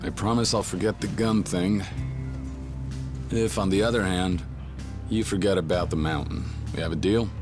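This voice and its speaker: A man playing a deep-voiced stoic young yakuza. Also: steady tone, moderate pace, long pauses, natural voice. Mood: dry. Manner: dry, clipped, understated delivery. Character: stoic, terse young male yakuza brawler. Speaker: game character. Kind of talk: English video game dub. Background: game soundtrack music.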